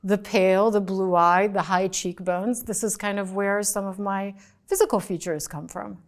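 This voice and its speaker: A woman's voice: medium pitch, steady tone, moderate pace. A middle-aged woman journalist, a guest in a studio interview, talking thoughtfully and gesturing as she speaks into a lapel mic, with some restarts. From United States, raised in France. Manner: articulate, thoughtful, with frequent restarts and fillers; gestures with her hands while talking. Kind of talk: interview conversation. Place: small studio set, indoors.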